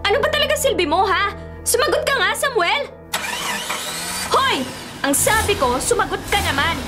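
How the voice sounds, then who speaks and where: Spoiled young daughter, Filipino accent, radio drama, piercingly high, highly animated, demanding, clear music behind. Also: female voice, moderate pace. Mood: demanding, irritated. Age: young adult. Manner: demanding, petulant. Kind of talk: radio drama acting with Taglish dialogue.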